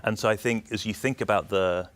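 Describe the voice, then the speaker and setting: A man with a British accent giving measured, explanatory answers as an executive being interviewed. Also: fairly low pitch, a bit bright. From United Kingdom. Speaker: energy system operator executive, interview guest.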